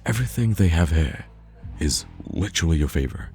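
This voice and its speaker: A man's voice: a bass voice, sing-song, a little fast, darkish tone, exaggerated voice. A man playing a playful, teasing young man bantering casually with a close friend in a conversational audio roleplay. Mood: playful disbelief. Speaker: ASMR roleplay voice actor. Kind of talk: conversational ASMR roleplay acting.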